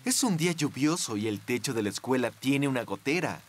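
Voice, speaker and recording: A man's voice: mid-range voice, lively intonation, fairly quick, faint music behind, close to an everyday voice. A man reading scripted narration for a children's cartoon.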